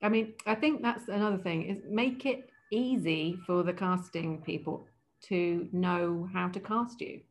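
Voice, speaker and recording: A woman's voice: fairly low pitch, a little slow. A woman who works as an opera casting director, talking as a guest on a video call interview, thoughtful and often restarting her sentences. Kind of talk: casual interview talk.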